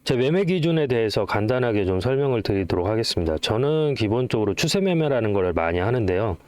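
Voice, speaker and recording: A middle-aged man gives informal stock commentary with a very lively, swinging intonation. His voice is recorded dry and close, with little room sound. He explains step by step and often poses questions to the listener. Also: lowish voice, fast, no echo. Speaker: stock trading channel host.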